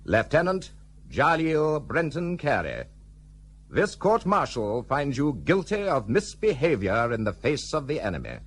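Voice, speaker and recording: An actor playing a stern, solemn military court president in a radio drama, formal and declamatory, on a faintly noisy recording. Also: male voice, on the high side, sing-song, a little slow, soft background music. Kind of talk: period radio drama acting.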